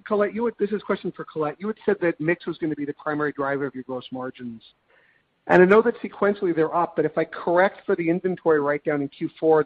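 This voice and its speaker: A man speaking as a financial analyst, putting detailed, probing questions on an earnings call and persistently rephrasing them. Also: a little high. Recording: tinny, narrow phone line.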